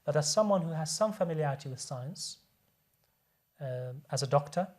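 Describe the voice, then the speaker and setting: A man's voice: on the high side, lively intonation, normal speed, darkish tone. Earnest man explaining at length, often restarting. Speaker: guest speaker, medical doctor. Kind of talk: explaining religion in a studio discussion.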